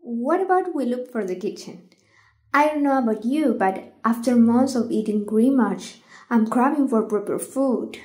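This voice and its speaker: A woman voicing an excitable, awestruck young woman prisoner in an audio drama. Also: on the high side, normal speed, slightly rough, voice pushed up. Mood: eager.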